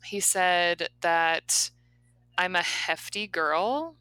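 Forceful low-voiced woman, candid chat. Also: very slow, clean tone, no echo. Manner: candid, rambling, many fillers. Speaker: podcast co-host. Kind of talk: casual chat.

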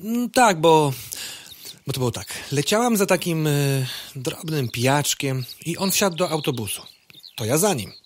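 A man's voice: a little high, animated, a little slow, slight background noise. A man playing a whiny, impulsive young female fly in a radio play, chatty and stammering. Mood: dreamy, excited.

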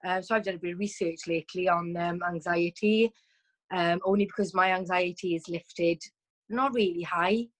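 A chatty woman with a fairly low voice, talking as a guest over a video call. She keeps going with many ums and restarts. Her tone is easy and conversational. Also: steady tone, normal speed.

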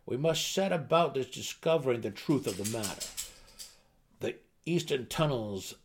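A man voicing a commanding gnome king in a fantasy audiobook, speaking with measured, deliberate authority to calm an anxious council. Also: medium pitch, a little hiss or hum, lightly acted. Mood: commanding, decisive.

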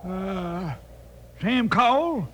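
Old rancher, folksy drawl, lively and bright, vintage radio. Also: male voice, sits high, extremely slow, a little hiss or hum, heavily acted. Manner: drawling, rambling. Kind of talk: 1950s radio acting.

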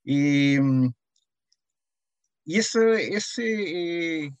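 An older man with a Chilean accent reminisces in a conversational interview, pausing and repeating words, often adding a short questioning "mm". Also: a little high, smooth, no room sound. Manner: hesitant, frequent "¿m?" tags and repeated words. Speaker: interviewee, heritage association founding member.

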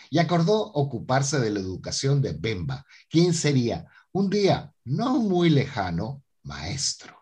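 A man's voice: medium pitch, darkish tone, husky. A man giving scripted narration for a Spanish-language radio soap.

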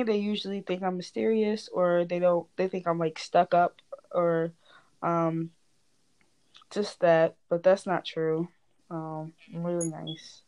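A woman's voice: on the low side, a little mellow, clean tone. A woman in her early twenties chatting casually and informally as a podcast panelist. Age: early 20s. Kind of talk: casual chat.

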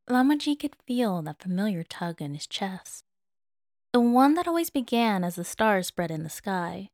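A woman's voice: mid-range voice, darkish tone, no room sound, clean studio sound. A woman narrating fan fiction as a dramatic audiobook.

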